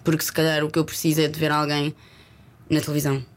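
A woman from Lisbon with a Lisbon Portuguese accent, speaking reflectively and haltingly as a guest in a candid interview, sometimes dropping in English words. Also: low-pitched, completely flat delivery, a little hiss or hum.

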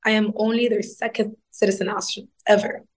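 A woman's voice: medium pitch, little pitch movement, a little fast. A woman, a guest answering interview questions in an earnest, explanatory way. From Egypt. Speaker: guest astronaut.

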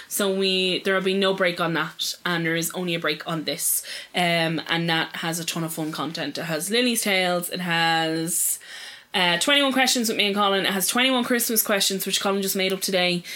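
A woman with a Dublin accent co-hosting a podcast, chatting casually and halting often with "like" fillers. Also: medium pitch, sharp tone. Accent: Dublin Irish. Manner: hesitant, many "like" fillers. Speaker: podcast co-host. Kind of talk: casual chat.

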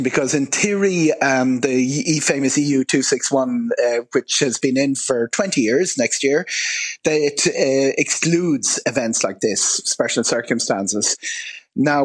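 An Irish man speaking as a travel expert in a live radio interview, halting and often restarting his phrases. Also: medium pitch, breathy edge. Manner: halting, fragmented delivery with many false starts. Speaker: travel and aviation journalist, expert guest.

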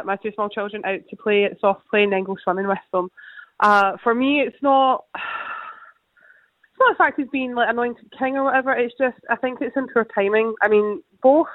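A woman's voice: mid-range voice, steady tone, moderate pace, no echo. British woman calling in on a phone line. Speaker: caller, mother of two. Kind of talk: phone-in opinion.

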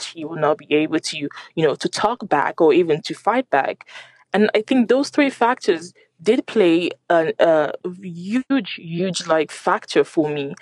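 Young woman, French accent, radio guest; mid pitch, halting, filler-heavy, dry close mic. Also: no echo. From Nigeria. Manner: halting, many fillers and restarts. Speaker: guest journalist. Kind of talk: radio interview.

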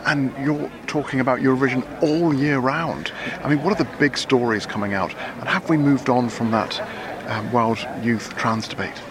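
A man's voice: medium pitch, normal speed. A male reporter asking upbeat questions in a street interview. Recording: loud crowd behind.

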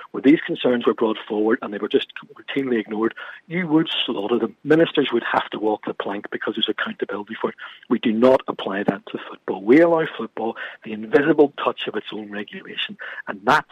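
Guy ranting fast and passionate on a radio show, bit husky, tinny dry phone sound. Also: medium pitch, dry recording. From from across the water from Scotland. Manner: passionate, emphatic, repeats words when pressing a point. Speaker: guest from an organisation. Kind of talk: radio talk show discussion.

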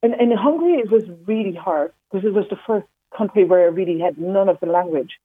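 A woman's voice: mid-range voice, fast, breathy edge, no room sound. A woman from the Netherlands, a walking pilgrim, talking in a phone interview with many fillers and restarts. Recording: tinny phone line.

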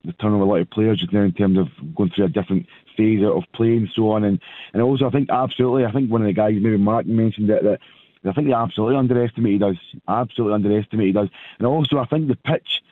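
A male caller with a croaky voice, talking eagerly and fast about football. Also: lowish voice, steady tone, dry recording. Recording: phone line.

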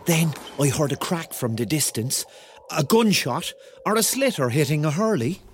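A man's voice: a little high, big pitch swings, moderate pace, very noisy. An actor playing a Cork man in his thirties, narrating a radio drama in a wry, colloquial way with a Cork Irish accent. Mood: tense storytelling. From Cork, Ireland. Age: 30s. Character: thirty-year-old Cork man, wry, dreamy storyteller. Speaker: narrator and lead character. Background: music.

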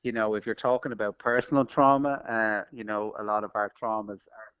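Earnest Dublin man, lower voice. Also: little pitch movement, fairly quick, a bit bright, clear, resonant tone, lo-fi sound. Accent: Dublin Irish. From Dublin, Ireland. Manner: earnest, searching for words, many restarts. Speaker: filmmaker being interviewed. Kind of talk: reflective interview talk.

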